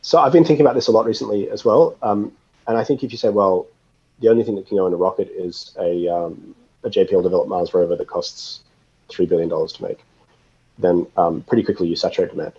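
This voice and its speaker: Slightly lo-fi audio carries a man's deep, husky voice. He is an expert guest in a casual interview, reasoning through his explanations thoughtfully and haltingly. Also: steady tone, warm tone.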